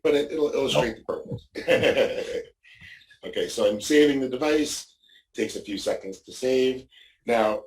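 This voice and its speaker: A man giving an informal, explanatory product demo as a walkthrough, often punctuating his points with "okay". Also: on the low side. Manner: explanatory, frequently checking with "okay". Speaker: product demonstrator. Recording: roomy echo, distant microphone.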